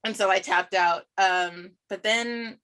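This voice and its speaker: Woman podcast guest w a bright voice, chatty n animated, tells stories like she's acting out the convo. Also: mid-range voice, takes it slowly, clear, resonant tone. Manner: animated, informal, quotes self in dialogue. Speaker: podcast guest, writer and show creator. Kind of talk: casual chat, telling anecdotes.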